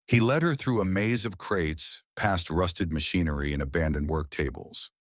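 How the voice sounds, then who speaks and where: Deep-voiced man narrating a thriller. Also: no room sound, studio quality. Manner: dramatic, tense delivery with pauses. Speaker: audiobook narrator.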